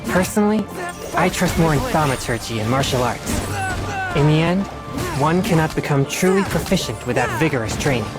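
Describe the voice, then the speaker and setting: A man's voice: on the high side, expressive, close to an everyday voice. Man playing an earnest, youthful boy exorcist, music behind. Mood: earnest, composed. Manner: formal, measured, earnest delivery. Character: earnest, disciplined teenage boy exorcist, easily flustered. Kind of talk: scripted video game character dialogue.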